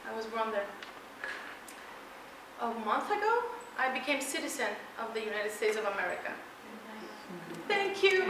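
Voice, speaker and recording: A middle-aged woman from Mexico giving an energetic, emphatic motivational talk to students, laughing often as she walks around. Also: sits high, heavy background noise. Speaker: keynote speaker. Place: large lecture hall. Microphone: far room pickup. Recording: echoey hall from far off.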